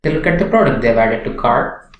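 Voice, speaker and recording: A young man presenting a software tutorial in a scripted narration style. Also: medium pitch, lively intonation, a little fast, muffled recording. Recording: roomy echo with background noise, clicks.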